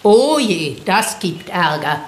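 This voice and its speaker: A woman's voice: lowish voice, very animated, roomy sound. An actress playing a joking, squeamish village choir woman in a radio play. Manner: joking, dry, unhurried.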